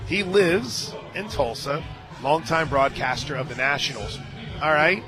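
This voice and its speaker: A man doing live radio play-by-play of softball, mixing excitable calls with chatty asides. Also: a little high, unhurried, music in the background. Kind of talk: live softball commentary mixed with casual chat. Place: stadium radio booth.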